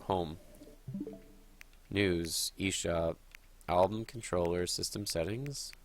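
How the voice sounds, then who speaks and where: A man's voice: sits low, slow pace, soft background music. A man with a cold, sniffling a little, chatting and thinking aloud as he streams a game live. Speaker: gaming streamer.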